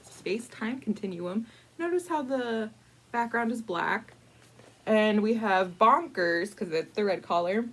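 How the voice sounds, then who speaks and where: Woman, mid-range voice, casual storyteller, informal asides, playful stretched-out words, storytime host. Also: medium pitch. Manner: playful, dramatic emphasis with drawn-out words. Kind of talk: casual picture-book storytelling.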